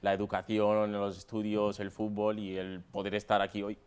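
Young male footballer, twenty, Madrid accent, smooth voice with fairly flat intonation, chatting in an interview. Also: lowish voice, normal speed. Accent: Madrid Spanish. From Spain, Madrid area. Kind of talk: casual interview answers. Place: stadium dugout with plastic seats.

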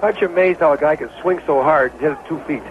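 A man's voice: on the high side, fast talker. A man giving conversational, opinionated color commentary on a radio baseball broadcast, with a bantering manner. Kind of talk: conversational radio sports analysis. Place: ballpark broadcast booth. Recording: very noisy, phone-like 1970s radio broadcast recording.

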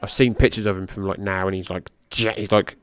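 A middle-aged man with a slightly low voice calls a wrestling match in loose, rambling podcast commentary. He talks casually and swears here and there. He rises in pitch and volume when the big moves land. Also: fairly quick, smooth, no room sound. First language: English. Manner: loose, rambling, swears casually, gets excited at high spots.

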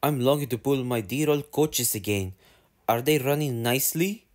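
An older narrator voice playing Skarloey, a kind, calm old male engine who speaks gently and gravely. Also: mid-range voice, moderate pace, close to an everyday voice. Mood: gentle. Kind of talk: storybook reading.

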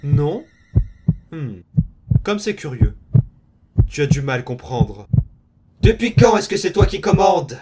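A man playing a menacing, power-hungry undead boy in an amateur comic dub, deliberate and condescending. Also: on the high side, very animated, heavy background noise. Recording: music behind.

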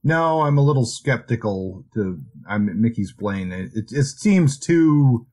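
A man co-hosting a podcast, discussing books in a casual, measured and slightly hedging way. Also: mid-range voice, lively intonation, dark tone. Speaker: podcast host.

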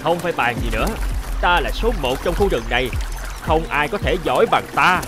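A man plays a smug crow from a children's cartoon, scheming and scornful. His voice is fairly high and swings theatrically through big, lively rises and falls, with music behind it. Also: moderate pace, close to an everyday voice.

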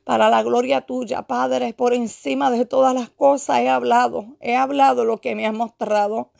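Fervent, creaky-voiced woman preacher. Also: a little high, steady tone, moderate pace, darkish tone, no echo, clean studio sound. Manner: fervent, repeating phrases insistently. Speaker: preacher delivering a prophecy. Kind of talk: prophetic exhortation and prayer.

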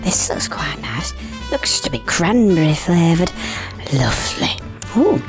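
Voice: mid-range voice, sing-song, measured pace. A performer voicing a prim, chatty talking cow in a video game, fussy and genteel, full of exclamations, with music underneath.

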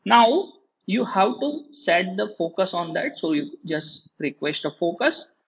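A male lecturer walks through a tutorial in a halting, repetitive way. His voice sits high and sounds husky. The recording is dull and lo-fi. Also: very animated. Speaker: programming lecturer. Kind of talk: screen-recorded tutorial explanation.

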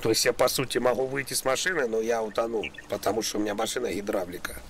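A young man making a prank call in a deadpan role, rambling with absurd pseudo-formal phrasing. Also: lowish voice, quick pace. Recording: noisy game ambience behind voice.

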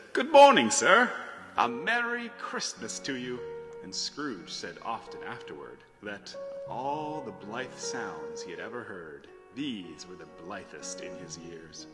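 A man's voice: mid-range voice, a bit bright. A man narrating a classic tale in a scripted, dramatic storybook style. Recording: long hall reverb, music behind.

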